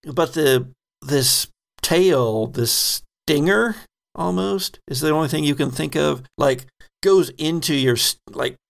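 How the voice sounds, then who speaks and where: A man's voice: on the high side, slow, creaky. A man narrating as game master of a horror roleplaying game, conversational and descriptive as he improvises.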